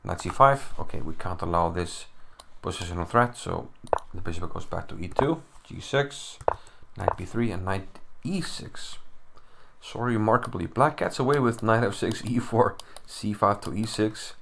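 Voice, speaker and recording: A young man with an Icelandic accent talks through a chess game into his computer mic at home. His voice sits low, with a gravelly edge. He is chatty and loose, puzzling over moves aloud and making fun of himself. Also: moderate pace, noisy background. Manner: chatty, self-deprecating, thinking aloud. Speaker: chess commentator. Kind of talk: casual chess analysis. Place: home room. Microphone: computer mic, no camera.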